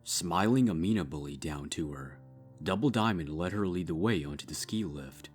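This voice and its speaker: A man reading dark fiction as a scripted narrator, measured and with dramatic pauses. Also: deep voice, animated. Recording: music behind the voice.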